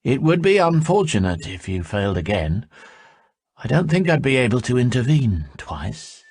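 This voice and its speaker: A man voicing a smooth, menacing young male envoy who speaks low, level and slow. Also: very animated, darkish tone, no echo, studio quality. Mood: silkily menacing.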